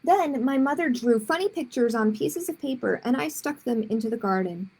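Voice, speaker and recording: Husky, creaky woman reading a storybook. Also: on the high side, animated, moderate pace, a little mellow, low-quality recording. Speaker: children's storytime reader. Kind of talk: storybook read-aloud with short asides to young listeners.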